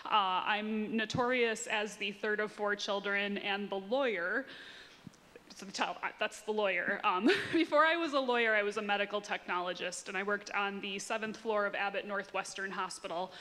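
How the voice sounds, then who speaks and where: A woman's voice: mid-range voice, normal speed, bright, forceful tone. A woman in her thirties telling a personal story to a congregation in a candid, informal way. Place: church sanctuary, standing. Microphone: handheld microphone. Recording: reverberant church hall.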